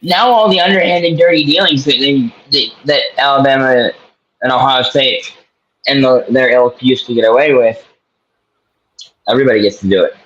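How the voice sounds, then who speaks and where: A man co-hosting a casual sports talk show, chatting in an animated, joking way. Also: medium pitch, moderate pace, muffled recording. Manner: animated, slips into a mock New York accent. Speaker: co-host.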